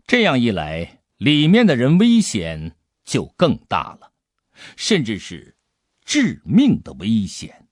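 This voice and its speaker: 一位男性有声小说演播者，用富有悬念和表现力的方式讲述故事。. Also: male voice, mid-range voice, no echo, studio quality. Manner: suspenseful, expressive delivery. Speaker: audiobook narrator. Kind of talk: dramatic scripted storytelling.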